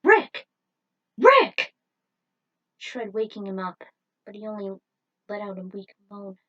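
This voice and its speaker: Woman, medium pitch, amateur fan-fiction narrator, storytelling delivery. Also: level tone, moderate pace, highs cut off.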